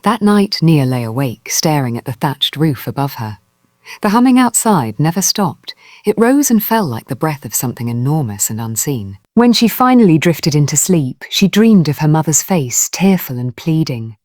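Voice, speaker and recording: A woman's voice: deep voice, big pitch swings, normal speed, a little mellow, no room sound, studio quality. A woman telling a folktale in dramatic scripted narration. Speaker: storyteller.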